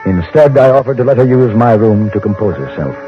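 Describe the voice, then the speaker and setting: Measured gentleman narrator actor on muffled old radio. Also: fairly low pitch, expressive, close to an everyday voice. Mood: reflective. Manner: measured, polite, literary. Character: courteous, observant frontier newspaper correspondent, a man. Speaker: narrator and lead character. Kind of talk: old-time radio drama narration and acting. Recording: muffled old radio recording with loud music.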